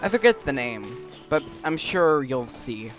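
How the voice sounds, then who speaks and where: A man hosts a casual game playthrough. His voice is mid-pitched, and he talks in a halting, think-aloud way. Game music runs clearly behind him. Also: big pitch swings, fairly bright tone. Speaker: gaming video host.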